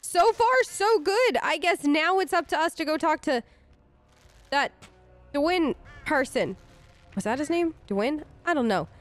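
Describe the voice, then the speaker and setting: Female let's player, fairly high voice, casual role-play commentary, chatty, reassuring, recorded dry. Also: animated, measured pace, no echo. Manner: chatty, reassuring, thinks aloud. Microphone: close microphone, dry voice-over.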